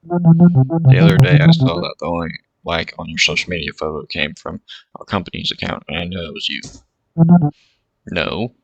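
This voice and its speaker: A man's voice: deep voice, animated, normal speed, gravelly, pauses often, no room sound, highs cut off. A man streaming a visual novel, reading its text aloud with short comments of his own. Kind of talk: reading game dialogue aloud over gameplay. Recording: game music playing loudly underneath.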